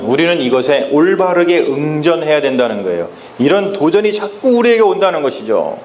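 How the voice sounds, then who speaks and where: A male pastor preaching a Sunday sermon in a church, speaking emphatically and often turning to address the congregation directly, slowing down to stress key phrases. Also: fairly high pitch, slight background noise. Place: church sanctuary. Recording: echoey church with faint ambience.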